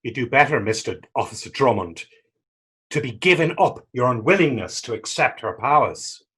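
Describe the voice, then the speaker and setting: A man's voice: medium pitch, animated, moderate pace, a bit bright, husky. An actor playing a defiant, superstitious young man, goading and indignant in folksy dialect in a stage reading. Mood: ominous. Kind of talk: stage readers theater acting.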